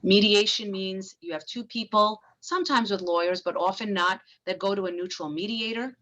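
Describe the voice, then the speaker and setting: A woman family lawyer, giving a frank, brisk presentation to business owners. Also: medium pitch, a bit bright, highs cut off.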